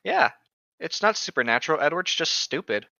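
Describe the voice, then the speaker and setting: Irreverent guy reading a book out and riffing, highish lively voice, kinda lo-fi mic. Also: moderate pace, vocal fry, no echo. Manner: irreverent, blunt. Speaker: podcast co-host. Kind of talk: reading a novel aloud with casual joking commentary.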